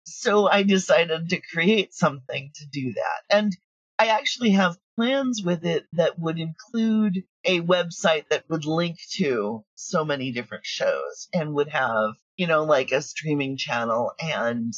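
A woman talking casually as an interview guest, telling stories in an animated way with quoted asides. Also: low voice, a little slow, no room sound, lo-fi sound. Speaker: audio drama creator, interview guest.

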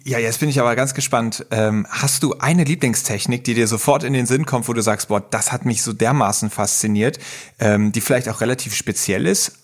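A man in the middle pitch range hosts an interview podcast. He sounds friendly and keen as he introduces the episode and puts questions to his guest. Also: fairly quick. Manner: friendly, enthusiastic. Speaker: podcast host.

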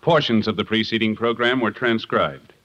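A man's voice: fairly low pitch, moderate pace, fairly bright tone, muffled recording. A man with an American accent announcing in 1950s network radio style.

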